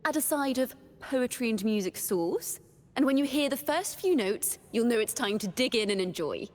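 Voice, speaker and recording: A woman voicing a young, horned wandering bard in a video game, a cheerful yet wistful poet who speaks in lyrical, expressive storytelling with a reverberant tail. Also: on the high side, moderate pace, natural voice. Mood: cheerful, chatty. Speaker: game character voice. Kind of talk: scripted character monologue.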